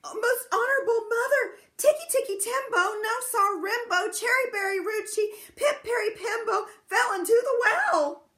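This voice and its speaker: A woman voicing a breathless little boy who cries and shouts his pleas. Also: very high voice, moderate pace, few pauses, pitched above the performer's own voice. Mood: urgent.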